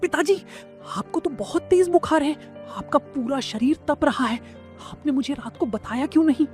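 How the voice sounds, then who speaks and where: A man's voice: very high voice, lively intonation, moderate pace. A young man playing a dutiful, respectful son who helps at a food stall in a cartoon story. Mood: alarmed, urgent. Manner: earnest, respectful. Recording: music under the voice.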